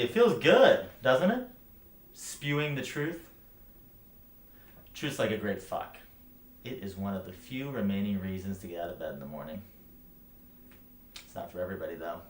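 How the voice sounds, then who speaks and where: An actor playing a bitter, brutally frank writing teacher in a theatrical monologue, speaking with scorn, emphasis and profanity. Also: male voice, low voice, normal speed, long pauses, close to an everyday voice. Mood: smug, goading. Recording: roomy echo, light background noise.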